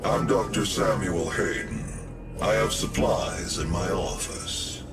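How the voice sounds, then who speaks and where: An actor playing a calm, authoritative scientist in a robot body in a video game, speaking in a measured, formal, cold way. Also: male voice, low voice, gravelly, full character voice. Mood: measured, explanatory. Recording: loud music behind the voice.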